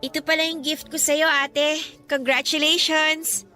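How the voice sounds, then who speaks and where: A woman's voice: high voice, flat delivery, measured pace, bright, forceful tone. A woman playing a bubbly, supportive younger sister in a Filipino radio drama, with a Filipino accent. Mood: affectionate.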